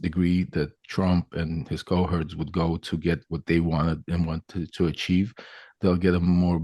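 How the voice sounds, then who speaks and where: A man's voice: low voice, little pitch movement, measured pace, warm tone, breathy edge, no room sound, highs cut off. A man in his fifties, born in Ukraine, talking loosely as an interview guest with many restarts and fillers. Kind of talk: casual interview talk.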